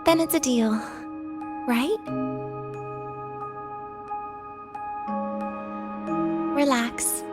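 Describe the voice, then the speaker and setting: An actress voicing a weary, teasing, guarded young woman in a video game, speaking with wry, breathy pauses. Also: high-pitched, loud music behind the voice. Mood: resigned, teasing.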